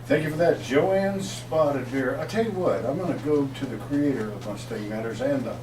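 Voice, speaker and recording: A man hosting a community talk radio show with energetic, promotional delivery, reading out phone numbers and introducing guests. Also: mid-range voice, normal speed. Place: radio booth set up in a shopping mall. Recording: roomy, distant mic, noisy.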